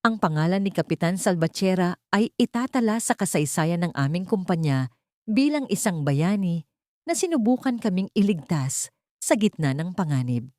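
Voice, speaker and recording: A woman's voice: on the low side, big pitch swings, normal speed, darkish tone, no room sound. A repentant, devoted Filipino man, acted out by a woman narrator, speaks with earnest, solemn protectiveness. It is an audiobook love drama with a very dry sound. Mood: resolute devotion.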